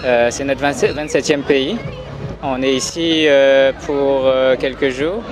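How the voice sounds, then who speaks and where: A man from France, a traveling father, answering questions in a calm, matter-of-fact way with frequent fillers. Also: mid-range voice, unhurried, over music. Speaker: interview guest, traveling father. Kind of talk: casual conversation. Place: busy city street outdoors. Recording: loud street crowd noise. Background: crowd and traffic.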